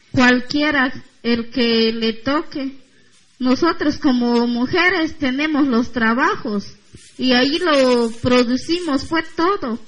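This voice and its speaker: A woman giving a short, halting address at a community gathering, with simple phrasing and pauses. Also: high-pitched, fairly bright tone. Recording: dull, muffled recording.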